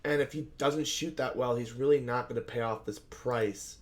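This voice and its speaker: Male host, voice scratchy and hoarse after a loud night, casual rambling analyst who thinks aloud, middle-pitched. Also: steady tone, moderate pace. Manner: conversational, filler-heavy, thinks aloud and self-corrects. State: hoarse, scratchy voice from shouting at a game. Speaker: fantasy basketball analyst host. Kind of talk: solo sports betting slate breakdown.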